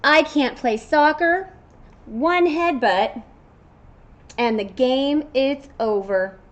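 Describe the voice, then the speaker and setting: A woman's voice: high voice, slow, highs cut off, voice pushed up. A woman as an emphatic, modest storybook unicorn. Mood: self-deprecating. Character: modest, friendly unicorn, proud yet self-doubting. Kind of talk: children's picture book character voice.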